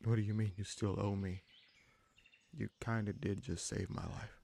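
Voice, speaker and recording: A man playing an easygoing, protective bandit leader in a fantasy roleplay audio drama, speaking in a halting, conversational way with a gravelly voice. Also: lowish voice, little pitch movement, dark tone. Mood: sincere, grateful. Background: birdsong, forest ambience.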